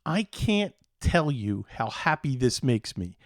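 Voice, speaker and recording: A middle-aged man from Philadelphia with an American accent, hosting a casual podcast in a halting, meandering, enthusiastic way. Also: mid-range voice, big pitch swings, no room sound, clean studio sound. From Philadelphia, United States. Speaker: show host.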